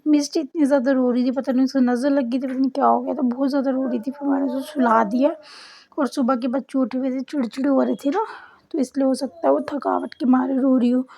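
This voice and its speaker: A young woman with a sore, hoarse throat, chatting to her viewers in a voice-over for her travel vlog. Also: sits high, steady tone, fast, mellow. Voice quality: husky, cracked voice. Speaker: vlogger. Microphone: voice-over recorded separately.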